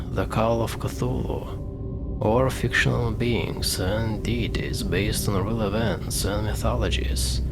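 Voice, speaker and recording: Man, low creaky voice, unhurried, explanatory game commentary, music behind. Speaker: video game player and commentator.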